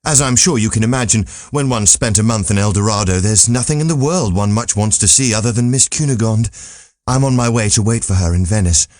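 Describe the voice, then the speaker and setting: A man's voice: lowish voice, a little fast, a little mellow, dry recording, clean studio sound, close to an everyday voice. A man voicing a naive, earnest, wide-eyed young man full of questions in an audiobook. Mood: eager. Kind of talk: audiobook character voice.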